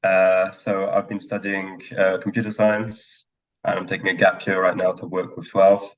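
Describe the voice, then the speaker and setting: A young student developer speaks over a dull, lo-fi video call line. His voice is low and flat, and his words come out hesitantly. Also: normal speed, a little hiss or hum. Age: 20s. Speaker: student developer introducing himself.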